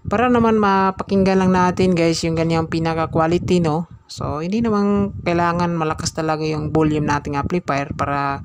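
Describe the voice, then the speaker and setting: A man's voice: a little high, fairly even intonation, normal speed. Man, casual speaker review vlog, chatty step-by-step explaining, noisy background hiss. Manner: chatty, explains step by step, keeps saying "guys". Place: indoors at night.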